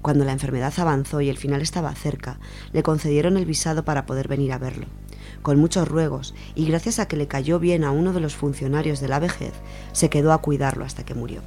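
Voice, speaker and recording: A woman's voice: sits low, fairly even intonation, quick pace, warm tone. A woman with an accent from Spain reading a literary short story aloud as a radio storyteller. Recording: music behind the voice.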